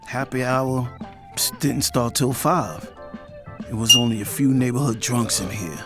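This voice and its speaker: A man's voice: medium pitch, a little slow, natural voice. A man playing a brooding man hunting for answers, narrating in the first person for an audio drama. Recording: music behind the voice.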